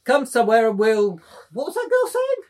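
Man acting a high, swooping, tipsy young intellectual. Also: huge pitch swings, normal speed, a little mellow, heavily acted. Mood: tipsy, amused. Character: drunken, nervous young intellectual poet, defiant and rambling. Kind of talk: dramatic group table reading.

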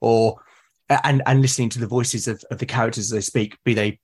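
A man with a British accent and a husky, slightly rough voice at a middling pitch. He speaks as an interview guest, explaining his thoughts earnestly in a conversational way. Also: fairly even intonation, fairly quick. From Britain. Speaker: podcast guest, fiction podcaster.

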